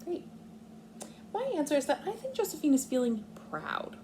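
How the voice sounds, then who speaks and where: A woman's voice: high-pitched, wildly swooping pitch, moderate pace, mellow, slight background noise. A woman speaking as a kindergarten teacher, explaining and thinking aloud step by step in a read-aloud lesson for young children.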